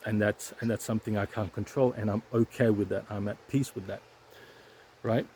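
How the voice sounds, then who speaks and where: A man's voice: on the low side, steady tone, moderate pace, warm tone, a little hiss or hum. A man vlogging outdoors on a hike, talking casually about his stutter with frequent repeated sounds and restarts. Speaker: YouTuber sharing stuttering advice. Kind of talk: casual vlog talk to camera. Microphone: weak handheld setup, shaky.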